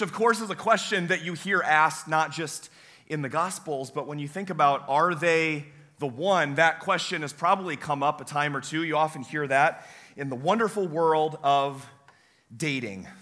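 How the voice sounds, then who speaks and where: Man, young, hoarse, campus pastor sermon, folksy storytelling, somewhat high pitch, bright tense tone. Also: normal speed. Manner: folksy, self-deprecating storytelling with many uh fillers. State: hoarse, voice weaker than usual. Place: church sanctuary.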